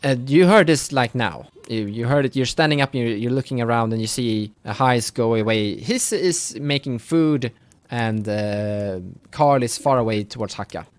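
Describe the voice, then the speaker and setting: A man working as game master, improvising the narration of a tabletop game in a halting, conversational way. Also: medium pitch, no echo.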